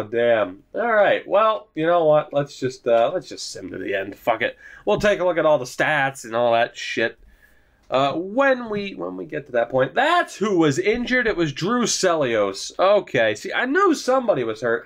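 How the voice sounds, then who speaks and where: A young man giving casual, rambling commentary over a hockey video game, swearing often and joking at his own expense. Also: a little high. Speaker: gaming YouTuber.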